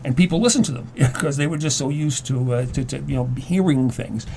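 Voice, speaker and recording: A man speaks as a guest in a relaxed discussion. His voice is dark and mellow at a middling pitch. He explains things haltingly, doubling back on words, with a light layer of background noise. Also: vocal fry, rough recording. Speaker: guest, former English teacher. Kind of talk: casual discussion.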